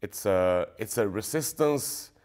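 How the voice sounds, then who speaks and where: Hesitant man, lowish voice. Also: expressive, takes it slowly, darkish tone. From Sweden. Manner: hesitant, searching for words. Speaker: choreographer, interview guest. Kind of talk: casual interview answers. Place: indoor room with brick wall.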